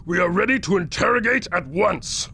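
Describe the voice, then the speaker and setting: A man's voice: high voice, expressive, moderate pace, vocal fry, pushed and bright, slight background noise, full character voice. A man playing a thuggish, barking henchman soldier who obeys his master, in an audio drama. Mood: deferential.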